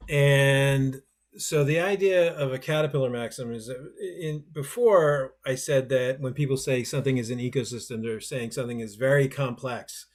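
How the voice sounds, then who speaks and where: A man's voice: medium pitch, moderate pace. Casual man hosting a webinar. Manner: conversational, loose, self-deprecating. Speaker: workshop host. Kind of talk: webinar presentation.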